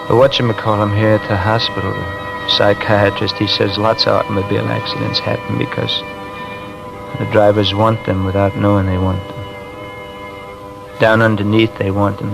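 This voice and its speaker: Gravelly, numb, brooding 1950s radio man. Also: fairly low pitch, music in the background, poor audio. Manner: brooding, flat, halting. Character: numb, bitter grieving man in a hospital bed. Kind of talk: 1950s radio drama acting.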